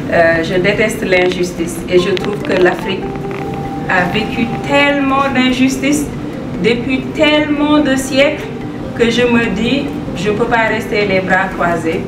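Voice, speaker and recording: A woman from Africa introducing herself in an emphatic, committed, flowing way. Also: medium pitch. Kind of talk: spoken self-introduction. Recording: echoey, distant mic, loud ambient noise.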